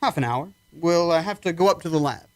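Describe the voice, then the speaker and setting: A man's voice: a little high, brisk, no room sound, lightly acted. A man acting in an old-time radio drama as a probing, authoritative army psychiatrist with a clipped, commanding manner. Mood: clinical.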